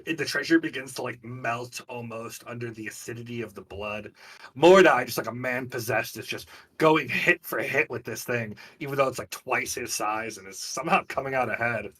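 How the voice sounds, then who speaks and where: A man's voice: medium pitch, moderate pace, fairly bright tone, husky, distant mic. A man acting as game master, narrating a live tabletop game and explaining rules in an informal, vividly descriptive way. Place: online group game stream.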